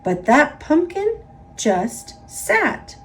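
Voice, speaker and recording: Very slow, animated American woman storyteller. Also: sits high. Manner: expressive, emphatic, repetitive build-ups. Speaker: storybook narrator. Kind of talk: read-aloud children's story narration.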